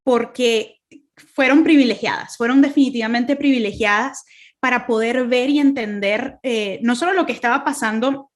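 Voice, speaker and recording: A woman's voice: fairly high pitch, moderate pace. A woman in her thirties from Caracas with a Venezuelan accent, giving an earnest faith talk over a video call, with many fillers and restarts. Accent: Venezuelan Spanish, Caracas. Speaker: guest speaker.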